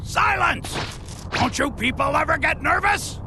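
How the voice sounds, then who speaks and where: A man voicing a proud, boastful, hot-tempered warrior in an anime game, brash and indignant, often shouting. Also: extremely high pitch, animated, a little slow, gravelly, background noise, exaggerated voice. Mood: irritated. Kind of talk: dramatic anime game voice acting. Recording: loud music behind the voice.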